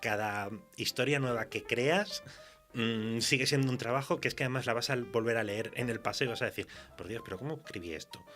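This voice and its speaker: A man's voice: mid-range voice, a little fast, fairly bright tone, soft background music. A man with a Spain accent talking casually and colloquially as a writer being interviewed, telling anecdotes with some mild swearing. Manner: laughs while talking. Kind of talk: casual literary interview chat.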